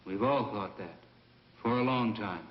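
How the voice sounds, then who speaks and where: An actor playing a composed, obliging space station official in a dubbed old sci-fi film, formal and measured. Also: male voice, fairly low pitch, lightly acted. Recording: roomy, dull old film soundtrack, music.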